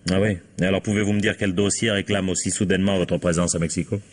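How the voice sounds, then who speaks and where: Actor as deep-voiced police inspector, shrewd, wry, insistent, authoritative, vintage radio crime drama. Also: male voice, flat delivery, quick pace, lightly acted. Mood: confrontational. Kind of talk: vintage radio mystery acting.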